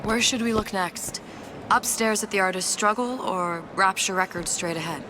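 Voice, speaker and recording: An actress playing a composed, shrewd young femme fatale in noir-style video game dialogue. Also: female voice, mid-range voice, moderate pace, noisy background, natural voice. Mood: brisk, wry.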